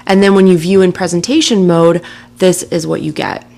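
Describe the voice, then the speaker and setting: Woman, lower register, casual tutorial narrator, friendly and chatty. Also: steady tone, normal speed, vocal fry, dark tone. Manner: casual and chatty, with light laughs. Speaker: software tutorial presenter. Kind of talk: screen-recording tutorial narration.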